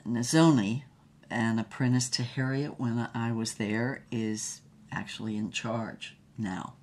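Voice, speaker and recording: A woman's voice: a bass voice, steady tone, slow pace, a little mellow, a little hiss or hum, full character voice. An actress playing an older, reflective woman with a wry, emphatic way of telling her mystical life story in a drama. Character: old woman, reflective mystical storyteller and former fugitive artist. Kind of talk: dramatic first-person storytelling, acted as conversation.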